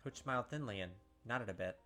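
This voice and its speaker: A man's voice: lowish voice, fast talker, bright, forceful tone, faint music behind. A man hosting a let's play, reading the story aloud and chatting casually, with lots of filler words. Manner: chatty, filler-heavy with frequent "like". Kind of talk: casual game commentary and read-aloud.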